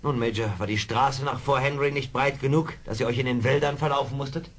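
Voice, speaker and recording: An actor playing a seasoned, wry frontier scout in a German radio play, dry, mocking and commanding. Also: male voice, medium pitch, a little fast, close to an everyday voice. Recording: hissy old radio play record.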